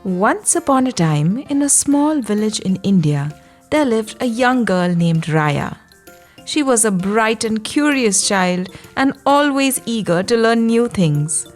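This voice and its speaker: A woman narrating a scripted bedtime story for young children, guiding them in a gentle, soothing manner. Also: fairly high pitch, expressive, faint music behind. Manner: slow, soothing guidance addressed to young children. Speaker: children's storyteller and yoga guide.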